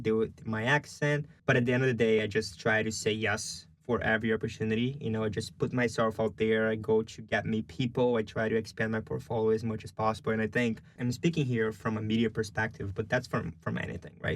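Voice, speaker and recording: A young man from Brazil with a Brazilian Portuguese accent, a journalism student answering interview questions casually, full of "you know" and "like". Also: fairly low pitch. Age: young adult. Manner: chatty, lots of fillers like "you know" and "like".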